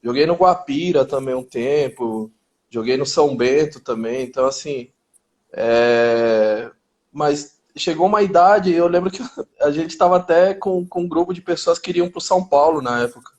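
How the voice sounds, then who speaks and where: Interview guest, forties, Chilean-born man, slightly high voice, easygoing street talk. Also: moderate pace. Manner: relaxed, street slang, frequent restarts. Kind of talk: casual interview chat.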